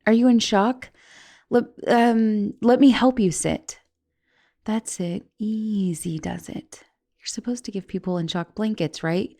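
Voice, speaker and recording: Woman voicing young giantess student, creaky voice, medium pitch, stammering, caring, guilt-ridden, intimate ASMR roleplay delivery. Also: fairly even intonation, measured pace. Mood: concerned, gentle. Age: young adult. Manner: hesitant, stammering, slipping into soft whispers. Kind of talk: ASMR roleplay, intimate one-sided dialogue.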